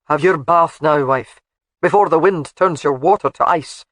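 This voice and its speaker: A woman's voice: lowish voice, expressive, moderate pace, no echo, studio quality. A woman voicing a commanding, protective laird in an audiobook who gives firm, clipped orders.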